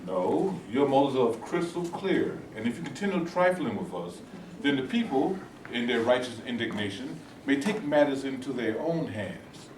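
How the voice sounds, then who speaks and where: A man's voice: medium pitch, very animated, moderate pace, noisy background, close to an everyday voice. A man playing a stern, righteous reverend leading a protest, speaking with a solemn, preacherly manner. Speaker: actor in a staged reading. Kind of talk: stage play reading. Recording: roomy hall from a distant mic.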